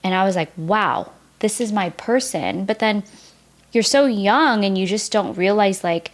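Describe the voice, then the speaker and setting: A woman's voice: mid-range voice, lively intonation, measured pace. A woman in her early thirties from the United States, a native Vietnamese speaker, speaking openly and reflectively as a podcast guest in casual conversation. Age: early 30s. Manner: open and reflective, casual filler "like". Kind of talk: candid personal storytelling in conversation.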